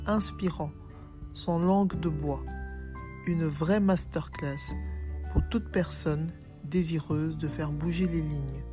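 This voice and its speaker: A woman with a slightly low voice hosts an interview podcast. She speaks in the manner of a presenter putting questions to a guest, with music faintly behind her. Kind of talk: scripted intro and casual interview.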